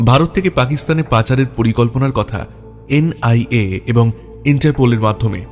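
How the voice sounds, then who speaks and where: Male story narrator over soft music. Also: medium pitch, moderate pace. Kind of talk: scripted detective story narration. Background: background music.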